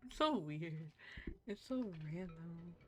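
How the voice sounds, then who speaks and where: A woman's voice: fairly low pitch, lively intonation, slow pace. A woman streaming a game, thinking aloud in casual playthrough commentary. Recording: game music behind the voice. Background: loud game music.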